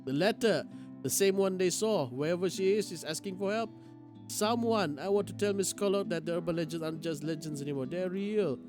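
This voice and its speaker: A man's voice: sits high, a little fast. A man streaming a game, reading its text aloud dramatically and reacting as he goes. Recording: guitar game music behind the voice.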